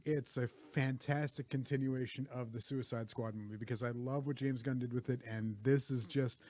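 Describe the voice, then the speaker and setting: A man's voice: medium pitch, a little mellow. A man co-hosting a casual movie talk show in a studio, eager and full of fillers and stumbling restarts. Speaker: co-host and camera operator. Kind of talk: casual podcast chat.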